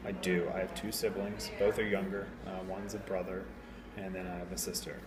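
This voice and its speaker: Deep-voiced man with halting answers. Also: flat delivery. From Tennessee. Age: 30s. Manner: hesitant, many fillers and restarts. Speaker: interviewee, restaurant general manager. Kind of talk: casual interview answers. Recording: echoey room with loud crowd noise. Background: crowd chatter.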